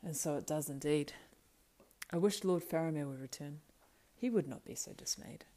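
A woman's voice: sits low, moderate pace, dark tone, lightly acted. Woman acting a grave, fervent castle guardsman. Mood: grim, dismayed. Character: male soldier guard, grave, loyal, fervent.

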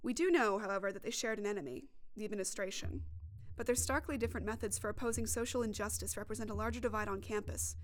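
A woman's voice: medium pitch, fast. A woman reporter reading scripted narration for a podcast documentary.

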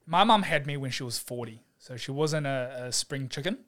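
A young man, a physiotherapist guest chatting casually on a podcast, relaxed and quick to laugh, with plenty of fillers. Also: medium pitch. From New Zealand. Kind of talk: casual chat.

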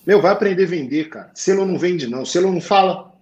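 A man with a Portuguese accent coaching accountants on sales, blunt and slangy, swinging to loud emphasis. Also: high voice, expressive, quick pace, muffled recording. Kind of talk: live talk show advice.

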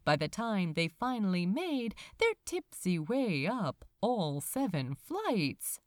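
A woman narrating a written story as an audiobook reader, in an expressive, measured storytelling manner. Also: mid-range voice, no room sound, clean studio sound. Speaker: fan fiction audiobook reader.

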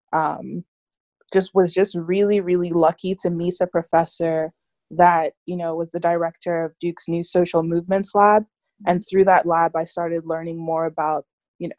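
Young woman from Nigeria, interview guest; low, even delivery, casual and reflective, dry close recording. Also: no room sound. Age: young adult. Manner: reflective, frequent fillers like "like" and "you know". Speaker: interview guest, student activist.

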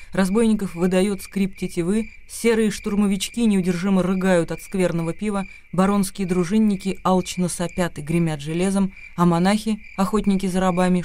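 A man's voice: high-pitched, steady tone, moderate pace, darkish tone, slight background noise, natural voice. A man reading scripted literary narration as the narrator of a radio drama.

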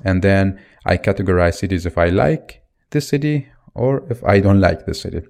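A man's voice: deep voice, normal speed, gravelly, a little mellow. A man in his twenties from Iraq, hosting a solo podcast and talking off the cuff with restarts and fillers. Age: 20s. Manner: unscripted, thinking aloud with fillers and restarts. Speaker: podcast host.

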